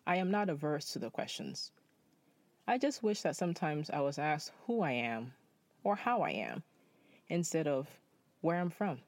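A young man from Nepal telling a personal story in spoken-word style, measured and reflective. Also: on the high side, no room sound.

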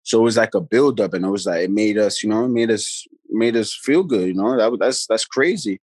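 A low-voiced man in his thirties tells stories in a relaxed, rambling way, full of fillers and quoted bits of other people. The sound is dry and close, with no room behind him. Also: a little fast, slightly rough, dry recording. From New York. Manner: laid-back, loose storytelling with many fillers and quoted voices. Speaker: basketball player guest. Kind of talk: casual storytelling.

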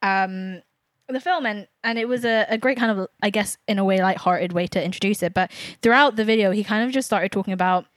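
A young woman co-hosting a casual chat, talking thoughtfully with many fillers and pauses. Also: medium pitch, dry recording, clean studio sound. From England. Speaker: podcast co-host.

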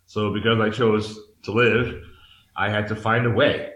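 A man's voice: lowish voice, level tone, unhurried, fairly bright tone. A man in his forties from Massachusetts, candidly telling his life story as an interview guest, earnest and searching, often restarting his sentences. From Massachusetts, United States. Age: 40s. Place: a room in Mexico. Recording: echoey room.

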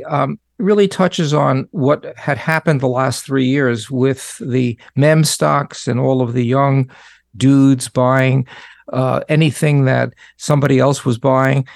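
A man co-hosting a radio talk show, talking in a relaxed, halting way with plenty of fillers. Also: medium pitch, vocal fry, dry recording, studio quality.

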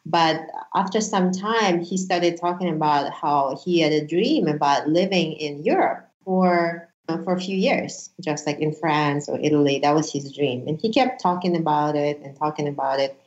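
A woman in her forties, a Russian-speaking immigrant from Uzbekistan, sharing her story as a guest in an earnest, animated way. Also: low-pitched, moderate pace, few pauses, roomy sound, highs cut off. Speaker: guest, former attorney. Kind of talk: casual interview chat.

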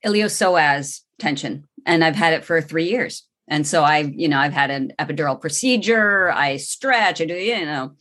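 A woman's voice: low-pitched, expressive, moderate pace, few pauses, fairly bright tone. A woman in her fifties interviewing a guest, listening closely and chiming in with short agreements. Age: 50s. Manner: attentive, frequent short agreements. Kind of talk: casual interview talk.

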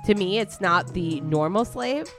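A woman's voice: medium pitch, lively intonation, a little slow, music in the background. A young woman in her twenties hosting a casual interview, teasing and joking, swearing freely. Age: 20s.

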